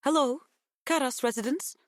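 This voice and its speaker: An older Greek woman, as a female audiobook reader acts her out, talking in a lifted, higher voice. She is warm and effusive, chatty and full of gratitude, with a clear Greek accent and a dry, close sound. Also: moderate pace, no room sound, lightly acted. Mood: polite, gentle. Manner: gushing, chatty, affectionate. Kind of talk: audiobook character dialogue.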